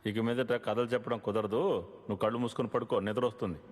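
A man's voice: fairly low pitch, level tone, rapid-fire, natural voice. Man as a storytelling father in heavy reverb. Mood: reluctant, firm. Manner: explanatory, moralising. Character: patient, worldly father telling a bedtime story. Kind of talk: bedtime storytelling in a moral cartoon. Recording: long reverb, music behind.

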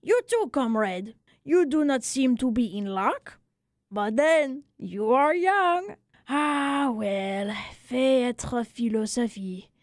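A woman voicing a small old Frenchman, a weary, talkative barber of wry philosophy, exclaiming and lamenting in drawn-out phrases for a storybook reading. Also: high voice, expressive, dry recording, clean studio sound. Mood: weary philosophizing.

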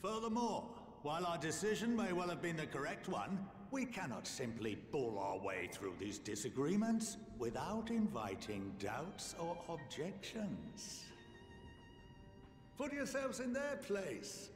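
An actor playing an elderly academy head in a game, wry, formal and eloquent, impatient but fair-minded. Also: male voice, a little high, sing-song, measured pace. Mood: measured, persuasive. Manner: formal, eloquent, dryly exasperated. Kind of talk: video game cutscene acting. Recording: echoey hall reverb, music behind.

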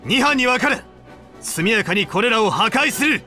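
An actor playing a stern, driven young military squad leader in a Japanese video game. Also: male voice, very high voice, animated, moderate pace, pushed and bright, heavily acted. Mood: commanding. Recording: music behind the voice.

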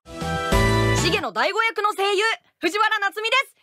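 Voice actor's fan message: woman, very high voice, music behind. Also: animated, takes it slowly, bright, forceful tone, dry recording. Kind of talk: promotional comment to fans.

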